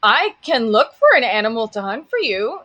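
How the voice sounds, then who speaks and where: Woman as a bright, theatrical, proud lion. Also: on the high side, sing-song, moderate pace, heavily acted. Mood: grudging. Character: proud, grudgingly helpful talking lion. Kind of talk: live table read of a fantasy story.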